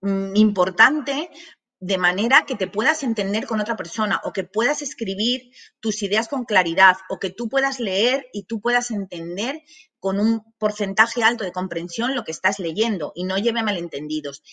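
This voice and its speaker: A woman's voice: medium pitch, normal speed, a bit bright. A woman in her thirties from Madrid with a Madrid Spanish accent, giving an online masterclass in a chatty way full of asides and fillers, recorded at her office desk. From Madrid, Spain. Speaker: language academy director. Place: small office with banners.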